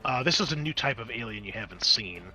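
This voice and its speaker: A man's voice: mid-range voice, animated, fast talker, sharp tone, husky, soft background music, low-quality recording. A man running a tabletop roleplaying game as game master, switching between conversational rules talk and dramatic scene description.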